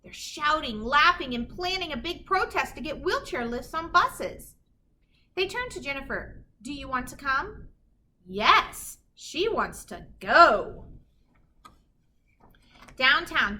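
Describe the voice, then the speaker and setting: A woman with a high-pitched, bright voice reads a children's story aloud. Her delivery is expressive and deliberate, stressing key words for young listeners. Faint noise sits behind her voice. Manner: animated, emphatic, deliberate storytelling phrasing. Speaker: children's story reader, teacher. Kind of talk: expressive read-aloud of a children's picture book.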